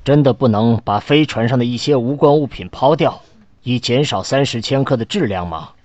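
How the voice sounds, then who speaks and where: A man's voice: medium pitch, moderate pace, a little mellow, dry recording, lightly acted. A man playing an impassioned senior government official in an audio drama, with an emphatic, challenging manner. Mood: pressing.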